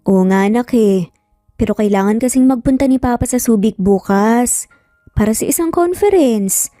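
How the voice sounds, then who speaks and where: A woman voicing a calm, affectionate but firm male boss and father in a dramatised story. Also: on the high side, moderate pace, dry recording, clean studio sound, soft background music, close to an everyday voice. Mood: fatherly, gentle.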